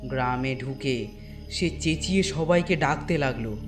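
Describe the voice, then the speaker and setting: A man narrating a scripted horror story in a deliberate way, with dramatic pauses between phrases. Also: medium pitch, music in the background.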